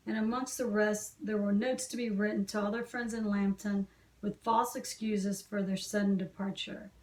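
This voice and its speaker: A woman's voice: mid-range voice, a little mellow. A woman reading a classic novel aloud as an amateur audiobook narrator. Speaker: volunteer audiobook reader. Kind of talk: scripted literary narration. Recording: echoey room, slightly distant mic.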